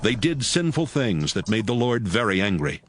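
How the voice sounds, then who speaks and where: Low, animated male Bible narrator. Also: moderate pace. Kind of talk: scripted narration of a dramatized audio Bible. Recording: music under the voice.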